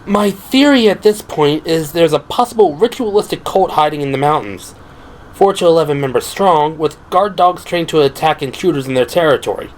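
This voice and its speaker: A man voicing a composed, earnest young woman officer in an audio drama, giving a measured, analytical briefing. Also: on the high side, expressive, a little hiss or hum, pitched above the performer's own voice, close to an everyday voice, rough recording. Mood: earnest, warming up.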